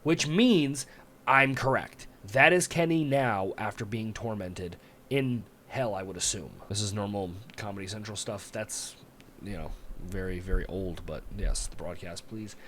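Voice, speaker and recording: A man's voice: fairly low pitch, a little slow, a bit bright, slight background noise. A man hosting a reaction video, talking conversationally and thinking aloud as he watches. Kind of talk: casual reaction commentary. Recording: music playing behind the voice.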